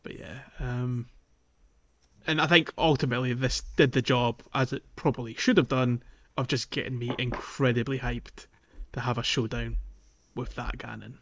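A man's voice: mid-range voice, fairly even intonation, moderate pace, fairly bright tone, dull audio. A man hosting a podcast, casually chatting about video games. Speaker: podcast host. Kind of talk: casual discussion of a video game.

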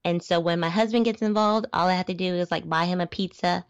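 Chatty young-sounding woman, low voice. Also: steady tone, fast, clear, resonant tone, dry recording, dull audio. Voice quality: youthful-sounding voice. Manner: chatty, restarts words, laughs often. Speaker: guest, small business founder. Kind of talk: podcast interview, casual storytelling.

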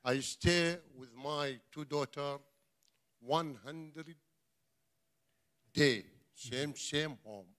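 An older man from Iraq with an Iraqi Arabic accent and Arabic as his first language, speaking in halting, broken English as a church guest sharing his testimony. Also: fairly high pitch, fairly bright tone. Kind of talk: testimony interview.

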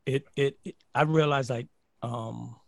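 A man's voice: medium pitch, measured pace, vocal fry, no echo, studio quality. A man from Barbados, a podcast guest, telling stories in casual chat with lots of restarts and "like". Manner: animated storyteller, repeats words and says "like" often.

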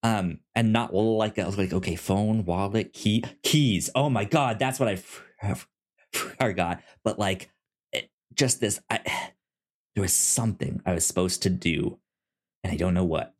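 A man co-hosting a podcast, talking casually and haltingly as he thinks aloud and stammers over words. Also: on the low side. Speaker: podcast co-host. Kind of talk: casual discussion and review.

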